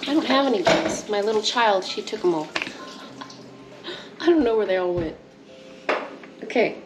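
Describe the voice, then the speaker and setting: A woman's voice: medium pitch, lively intonation, moderate pace. A young woman casually talking through a home cooking project in her kitchen, chatty and laughing easily. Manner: chatty, laughs easily, coughs at pepper fumes. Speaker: homestead cooking vlogger. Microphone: wide camera on the counter. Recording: echoey kitchen with background noise.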